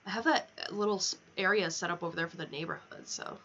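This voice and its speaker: A woman's voice: lowish voice, fast talker, fairly bright tone, lo-fi sound, a little hiss or hum. A young woman chatting casually on a game livestream, candid and rambling, swearing freely as she goes.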